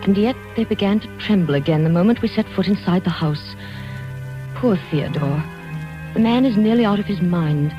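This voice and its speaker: An actress playing a genteel, soothing, gently probing family friend in an old-time radio drama, speaking earnestly and coaxingly. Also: female voice, medium pitch, moderate pace, close to an everyday voice. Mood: troubled reflection. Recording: dull old radio recording, faint music.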